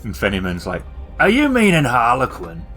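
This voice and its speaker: A man's voice: medium pitch, wildly swooping pitch, normal speed, fairly bright tone. A man voicing in-character lines as a tabletop storyteller, dry and matter-of-fact. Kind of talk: casual in-character role-play dialogue. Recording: music bed behind the voice. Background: background music.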